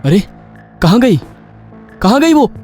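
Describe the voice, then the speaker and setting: A man playing a puzzled, dutiful police inspector in an animated horror story, in a dramatic, questioning manner. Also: sits high, slow, soft background music, exaggerated voice. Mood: bewildered.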